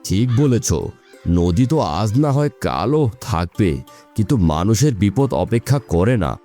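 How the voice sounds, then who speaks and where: A man playing an earnest, seasoned village boatman, moralizing in an animated story. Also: on the low side, moderate pace, faint music behind, lightly acted. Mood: earnest, reflective.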